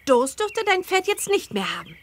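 A woman's voice: extremely high pitch, very animated, moderate pace, no room sound. A woman voicing a helpful, chirpy teenage girl who gives instructions in a video game. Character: perky, helpful teenage girl witch with horses. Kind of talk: scripted video game tutorial dialogue.

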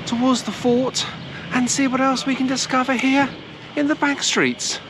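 A woman's voice: sits high, fairly even intonation, normal speed. A middle-aged woman presenting a walking-tour travel vlog to a camera held at arm's length. She talks in a chatty, meandering way with frequent hesitations. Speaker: travel vlogger. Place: outdoors in sunny city squares and streets. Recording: outdoor street noise and traffic.

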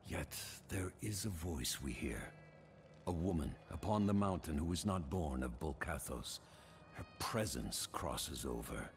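An actor playing the tormented ghost of a barbarian warrior in a fantasy game, gravelly, halting and mournful. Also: male voice, a bass voice, expressive, a little hiss or hum, full character voice. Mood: solemn. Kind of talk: fantasy video game voice acting. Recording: game music behind the voice.

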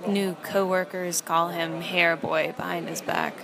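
Low, slow, deadpan young woman. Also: vocal fry, crowd noise. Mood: dry, unimpressed. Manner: dry, deadpan. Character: deadpan, sardonic young female fast-food trainee narrating. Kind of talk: first-person audio play narration.

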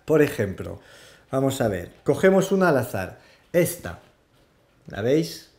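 A man, hosting a casual board game explainer in a halting way, searching for words. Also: mid-range voice, sing-song, darkish tone. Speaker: host of a board game show. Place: small indoor room with game shelves.

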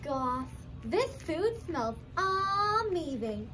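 A woman's voice: very high voice, very animated, drawn-out words, sharp tone, heavily acted. A young woman voicing a polite, hungry hiker puppet in a scripted puppet show. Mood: polite, hesitant. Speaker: student puppeteer. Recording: faint background noise.

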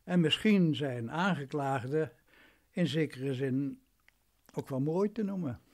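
A man playing a condescending, self-important lawyer in a radio drama, pompous and deliberate. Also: a little high, darkish tone. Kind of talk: radio drama acting in Dutch.

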